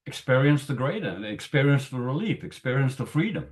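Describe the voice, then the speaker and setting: A man's voice: medium pitch, sing-song, a little fast. A man speaking emphatically in a spiritual group discussion, repeating phrases for effect.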